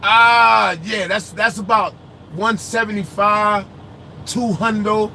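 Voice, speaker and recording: A man speaking with a high, bright voice rants loudly from inside his truck cab. His talk is slangy and animated, and he often raises his voice. A faint hum of road noise sits behind him. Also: slow, gravelly. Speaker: truck driver vlogger. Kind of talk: casual ranting chat while driving.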